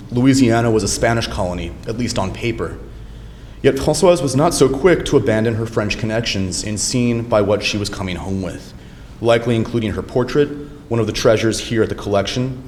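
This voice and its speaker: A young man delivers an academic lecture in a somewhat low voice, friendly and measured, with the odd filler as he finds his words. The sound rings with long hall reverb over a faint hiss. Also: darkish tone. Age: young adult. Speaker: lecturer, doctoral candidate.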